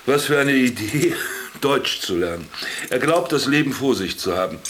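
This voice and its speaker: A man's voice: mid-range voice, lively intonation, normal speed, a bit bright, slight background noise, lightly acted. An actor playing a wry, cynical stranded businessman in a radio drama, ironic and patronizing as he talks. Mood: mocking. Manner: ironic, patronizing, addresses the other formally. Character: Philipp, stranded ex-businessman, wry, garrulous, cynical camp companion.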